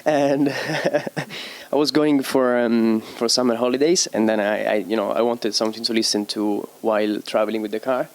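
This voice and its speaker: A young man from Italy, a DJ and producer answering interview questions in a halting way, often searching for words and laughing easily. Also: medium pitch, steady tone. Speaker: DJ and producer guest.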